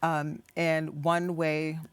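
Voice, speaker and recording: Earnest low-voiced woman guest. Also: little pitch movement, drawn-out words, clean tone, dry recording. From United States. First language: English. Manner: earnest, passionate, stops and restarts phrases. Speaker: community organization founder, guest. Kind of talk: studio interview.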